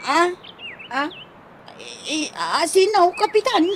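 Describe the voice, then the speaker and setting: A woman's voice: very high voice, sing-song, takes it slowly, a bit bright, slight background noise, exaggerated voice. A woman with an Ilocano accent acting a flustered, pleading village gossip who stammers in a comedy radio drama.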